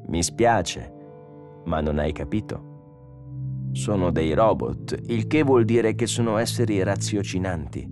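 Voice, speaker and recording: Man acting robot prophet, audiobook science fiction, slow deliberate speech, solemn, pious, self-assured, faint music. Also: low voice, lively intonation. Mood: calm, solemn. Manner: slow, deliberate, preachy delivery.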